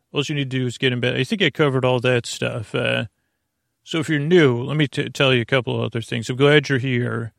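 A man with a creaky voice hosting a sleep podcast, meandering haltingly through a lulling, soothing bedtime monologue full of tangents. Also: mid-range voice, no room sound, clean studio sound.

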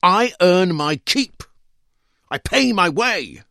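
An exasperated wife, as a male narrator mimics her, pitched up and swooping. She is reproachful and emphatic, in a dry, close storytelling recording. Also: big pitch swings, takes it slowly, creaky, sharp tone, no echo, heavily acted. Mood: indignant. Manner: indignant, emphatic.

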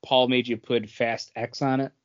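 A man's voice: medium pitch, little pitch movement, moderate pace, highs cut off. A man talking casually as one of the hosts of a podcast. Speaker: podcast co-host. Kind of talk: casual panel chat.

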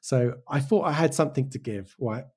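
A man with an English accent, from Wales, giving casual, reflective interview answers as a show creator, often halting and restarting with real conviction. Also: medium pitch, a little mellow, husky. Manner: halting, self-interrupting, passionate about own work.

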